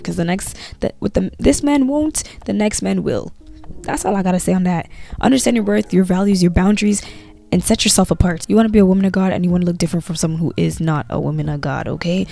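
A young woman with a cold chatting casually to camera about her faith, talking lively with her hands into a handheld mic in a small bedroom at night. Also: mid-range voice, vocal fry. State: has a cold.

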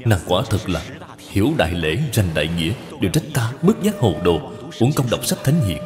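Man acting an emotional scholar husband, swinging pitch. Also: sing-song, a little fast. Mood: humbled. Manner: formal, emotional. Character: aggrieved but filial scholar husband in a moral tale. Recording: long reverb, music behind.

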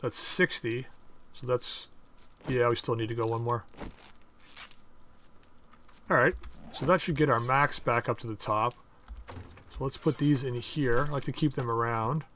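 A man hosting a casual game playthrough, chatting and thinking aloud as he crafts items, with his voice going a bit hoarse. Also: medium pitch, measured pace, pauses often, fairly bright tone, a little hiss or hum. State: losing his voice. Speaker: gaming channel host. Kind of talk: casual let's-play commentary.

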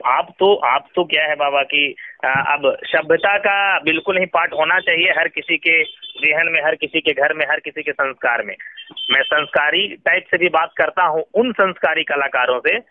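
A man's voice: on the high side, no room sound. A man with a Bihari accent arguing his case respectfully in a recorded phone conversation. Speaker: phone interviewee. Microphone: telephone. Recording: tinny, band-limited phone call.

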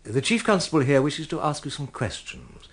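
A mild gentleman in a radio play, slightly rough-voiced. He picks his words politely and tentatively, with a varied rise and fall. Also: on the high side, big pitch swings, normal speed. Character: mild, hesitant, concerned gentleman. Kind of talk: radio drama acting.

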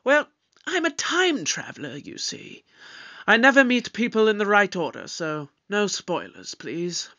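A man's voice: high voice, big pitch swings, pushed and bright, dull audio, heavily acted. Man playing a breezy time-traveling doctor. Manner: light, offhand, drawn-out delivery. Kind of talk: fan audio drama acting.

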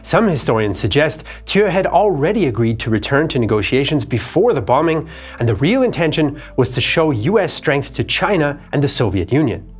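Male history host, 30s, expressive scripted read; light music bed under the voice. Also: fairly high pitch, moderate pace, breathy edge. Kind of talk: scripted documentary narration. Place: dark studio set.

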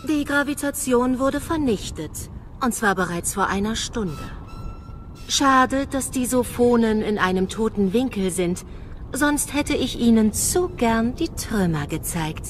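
A woman's voice: fairly high pitch, expressive, measured pace, a little hiss or hum. A woman playing a polite, menacing alien android envoy in a radio drama, formal and condescending. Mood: cool, formal.

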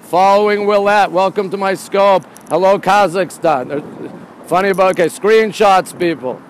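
Man, high voice, excitable repetitive live chat, outdoor phone recording, faint wind noise. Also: moderate pace. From Montreal, Canada. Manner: excitable, repeats phrases, replies to viewers in short bursts. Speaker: livestream host. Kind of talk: casual live walking tour chat. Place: outdoors on a city bridge by a river. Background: wind and light outdoor noise.

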